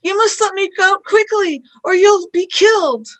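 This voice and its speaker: An earnest young woman in a space radio play, acted by a woman. Her voice is extremely high, with a questioning, anxious delivery. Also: normal speed. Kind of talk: fan radio play acting.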